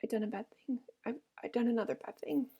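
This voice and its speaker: A big, simple-minded farmhand man from a storybook, acted out by a woman reader. He is childlike and earnest, pleading and fretting in uneducated rural dialect. The voice sits high for the part, with a creaky edge. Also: quick pace, a little mellow, close to an everyday voice. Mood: frightened, whimpering.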